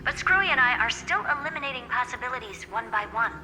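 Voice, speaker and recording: An actress playing a brisk, haughty, self-assured genius woman in a video game, smug and blunt in her delivery. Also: a little high, lively intonation, big-room sound, music in the background, lightly acted, low-quality recording. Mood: analytical. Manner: smug, blunt, matter-of-fact.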